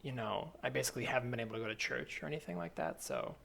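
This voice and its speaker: A man playing an awkward, earnest churchgoer with a halting, tentative way of talking, in improvised audio comedy. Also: mid-range voice, gravelly, fairly bright tone.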